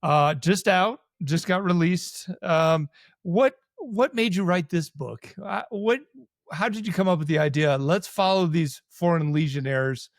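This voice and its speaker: Casual male host, blunt, chimes in briefly, a bit higher-pitched. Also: unhurried, dry recording, clean studio sound. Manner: blunt and casual, short interjections. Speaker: podcast host. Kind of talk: casual interview hosting.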